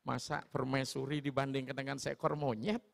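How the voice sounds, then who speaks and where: A man with a Sulawesi accent giving an animated storytelling sermon in a large hall. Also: medium pitch, normal speed, vocal fry. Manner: animated, switching into voices. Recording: long hall reverb.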